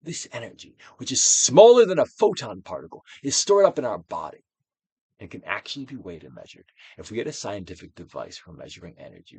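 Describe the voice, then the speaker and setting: A man giving a dramatic, Shakespearean-style reading of a self-help book, deliberate and theatrical, with drawn-out emphasis. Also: dry recording. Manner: theatrical Shakespearean delivery, swinging from hushed to booming. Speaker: audiobook reader.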